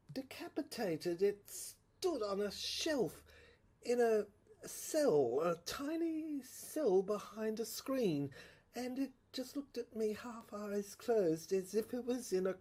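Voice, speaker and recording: A woman playing a tormented, haunted dreamer in an audio drama monologue, halting and repetitive, with a husky voice that slips into whispers. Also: a little high, darkish tone, heavily acted. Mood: haunted, brooding. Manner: halting, repetitive, intense delivery. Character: tormented, haunted dreamer recounting a surreal inner ordeal.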